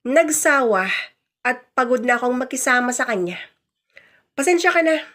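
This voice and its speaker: A woman acts out a blunt husband in a radio melodrama, fed up and defiant. The voice sits fairly high for a grown speaker, with a self-justifying, scornful edge. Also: animated, normal speed, fairly bright tone. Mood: weary, firm. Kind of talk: radio drama dialogue.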